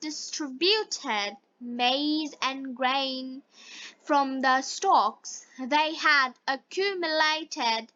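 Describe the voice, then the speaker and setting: A Bengali-speaking woman teaching English, reading phrase by phrase with deliberate enunciation in a repeat-after-me lesson style. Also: high-pitched, animated, sharp tone, highs cut off. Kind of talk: slow read-aloud English lesson with repeat-after-me drills.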